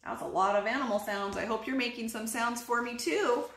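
A woman in her thirties reading picture books aloud to young children, expressively, with drawn-out words and little asides to her listeners. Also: on the high side, fairly bright tone. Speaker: children's story reader and teacher. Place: small living room, indoors on a couch. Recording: roomy echo.

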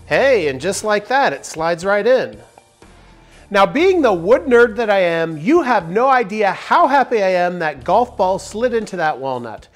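A man in his forties talks through a woodworking project step by step, upbeat and clear. His pitch swings lively from line to line, with soft music playing faintly behind him in a big indoor workshop. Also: unhurried. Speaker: woodworking channel host. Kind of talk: workshop tutorial narration. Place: large wooden workshop, indoors.

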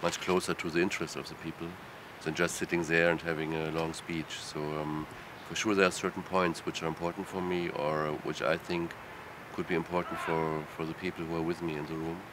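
A man's voice: rumbling low, flat delivery, fairly quick, few pauses. A man speaking thoughtfully in an interview on a city rooftop, hesitating often with fillers, traffic noise behind him. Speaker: lecturer. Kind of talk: reflective interview answers. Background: city street sounds.

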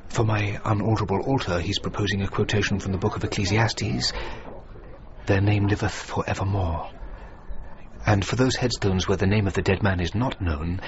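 Brief: male actor as idealistic architect for radio drama, earnest, halting, lowish voice, slightly muffled. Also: fairly even intonation, noisy background, close to an everyday voice. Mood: quietly approving. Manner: deliberate, halting, thoughtful. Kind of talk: BBC radio drama acting.

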